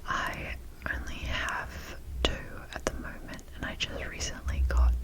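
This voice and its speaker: A young adult woman whispering in a casual ASMR show-and-tell style, breathy and enthusiastic, with halting, unhurried phrasing. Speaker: ASMR video creator.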